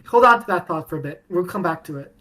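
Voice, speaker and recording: A woman chatting as a podcast guest, rambling with many restarts and sudden bursts of excitement. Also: lowish voice, fast talker. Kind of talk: casual podcast chat.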